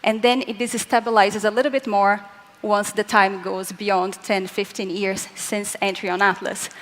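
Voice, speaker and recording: A woman's voice: mid-range voice, level tone, normal speed, fairly bright tone. A young woman from Latin America, speaking English as a second language, gives an earnest, explanatory conference talk and addresses the audience directly. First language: not English. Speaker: physicist giving a conference talk. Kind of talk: conference presentation. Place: large conference hall, on stage. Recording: hall reverb from a large room.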